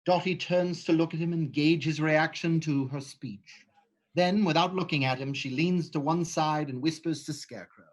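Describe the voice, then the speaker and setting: A reader calmly reading out stage directions in a script reading. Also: medium pitch, moderate pace, low-quality recording.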